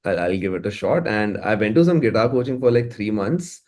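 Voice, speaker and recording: Low-pitched Indian man chatting casually. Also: expressive, a little fast, a little mellow. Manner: informal, repeats and restarts phrases often. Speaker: interview guest, musician and music producer. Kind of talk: casual interview chat.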